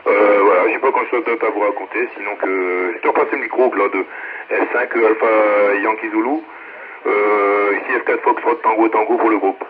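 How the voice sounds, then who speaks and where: A man talking casually as an amateur radio operator over a two-way radio, in halting, colloquial speech. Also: on the low side, steady tone. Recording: tinny radio relay, faint noise.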